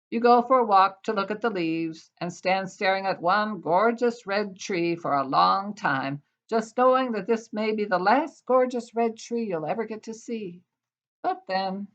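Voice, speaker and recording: An older woman with an American accent reading her own poetry aloud with expressive, deliberate phrasing. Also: fairly low pitch. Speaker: poet. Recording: dull, lo-fi sound.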